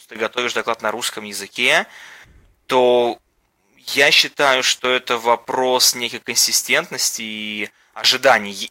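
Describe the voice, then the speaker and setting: A man on a panel stating his views firmly and categorically, in a deliberate way. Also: mid-range voice, bright, forceful tone. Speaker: podcast guest, conference speaker. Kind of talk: panel discussion.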